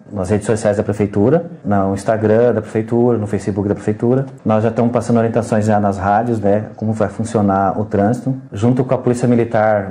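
A man's voice: on the low side, dark tone. A middle-aged man explaining things as an official in an interview, often ending phrases with a tag question. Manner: frequent "né" tags. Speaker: traffic agency official being interviewed. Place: indoors at radio station.

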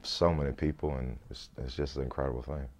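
A man in his thirties with a deep voice, a comedian chatting in an interview in a small studio, easygoing and laughing often, restarting words as he thinks. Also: fairly even intonation, fast, darkish tone. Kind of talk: relaxed interview chat. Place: small indoor studio set.

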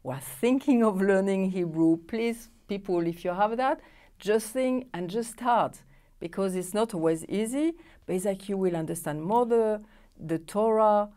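A woman's voice: mid-range voice, normal speed, dark tone. A middle-aged woman whose first language is French, hosting a TV studio interview in English with eager, non-native phrasing. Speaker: interview host. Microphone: lapel mic.

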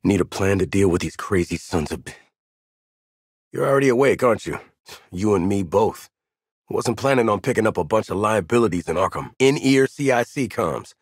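A gruff, sardonic American assassin, husky. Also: male voice, on the low side, expressive, moderate pace, no room sound, studio quality. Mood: wary, grumbling. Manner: blunt, sarcastic, commanding. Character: gruff, sardonic, impatient adult male assassin. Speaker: video game voice actor. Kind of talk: video game character dialogue.

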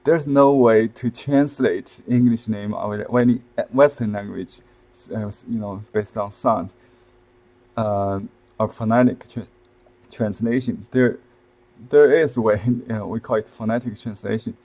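A man in his fifties with a Chinese accent, explaining calligraphy on a live stream from a desk webcam, softly and with many fillers and restarts. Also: on the low side, a little slow. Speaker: calligraphy and seal-carving teacher. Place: indoor room with shelves, at a desk.

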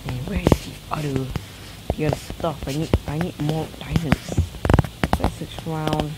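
A man's voice: on the high side, steady tone, moderate pace, heavy background noise. A fourteen-year-old boy chatting through mobile game commentary, his voice weak from a recent illness, rambling with many restarts. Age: teens, fourteen. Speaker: gameplay commentator. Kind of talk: casual let's-play commentary.

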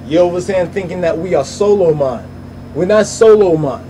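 A man giving an informal religious language lesson over slides, sounding out words slowly and repeating them, and checking in with "you understand?" after nearly every point. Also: high voice, warm tone, slight background noise. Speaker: bible study teacher.

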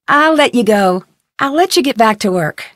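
A woman voicing an inquisitive, persistent young amateur detective in a video game, earnest and clearly articulated. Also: a little high, lively intonation, moderate pace, a bit bright, no room sound, clean studio sound. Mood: curious, polite. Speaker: voice actor playing the lead detective. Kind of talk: video game dialogue lines.

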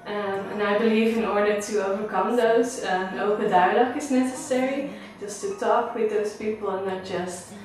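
A woman's voice: mid-range voice, fairly even intonation, normal speed, hardly any pauses, a little mellow, soft background music. Young woman from the Netherlands, sincere plain delivery, echoey distant sound. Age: young adult. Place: indoor room. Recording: echoey room, mic at a distance.

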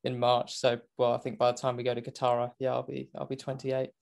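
British man, twenties, chatty, self-deprecating, laughs easily, casual podcast guest, mid-pitched voice. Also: completely flat delivery, a little fast, gravelly, a little mellow. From England. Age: 20s. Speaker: guest, motorcycle racing commentator. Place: home, remote call.

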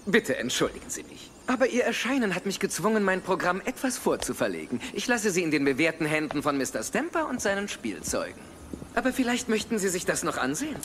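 Suave sadistic film villain: pompous, theatrical, higher-pitched and very animated, faint noise behind. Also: male voice, fairly quick. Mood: smug, courteous. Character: suave sadistic male media mogul villain, gloating. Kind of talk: dubbed action film acting.

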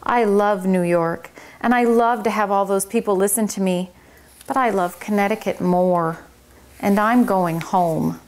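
A gentle, homesick male cricket who speaks softly and wistfully, voiced by a woman reading a children's book aloud. His tone is mild and unhurried. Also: medium pitch, lightly acted.

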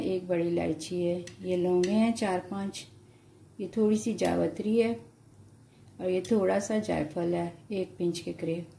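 A woman with an Urdu-influenced Hindustani accent calmly explains a home cooking recipe step by step to viewers. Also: mid-range voice, creaky, a little hiss or hum. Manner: calm, explanatory, addresses viewers directly. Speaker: home cooking channel host. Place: home kitchen at the stove.

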